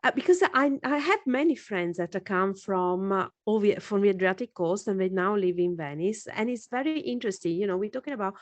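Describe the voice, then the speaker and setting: A woman's voice: medium pitch, expressive, a little fast, few pauses, no echo. Podcast host woman, dry close sound. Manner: encouraging, frequent affirmations. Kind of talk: casual interview chat.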